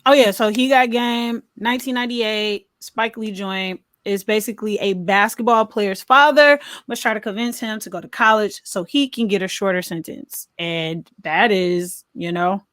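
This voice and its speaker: A woman's voice: mid-range voice, normal speed, few pauses, a bit bright, no echo. A woman co-hosting a casual film review chat, talking in an informal, slangy, conversational way. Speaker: podcast co-host.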